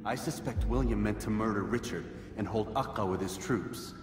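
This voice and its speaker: A man's voice: fairly low pitch, normal speed, close to an everyday voice. A man playing a stern, questioning young assassin of the Crusades in a video game, formal and serious in speech. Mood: serious, probing. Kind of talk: dramatic video game dialogue. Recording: echoey hall, music behind.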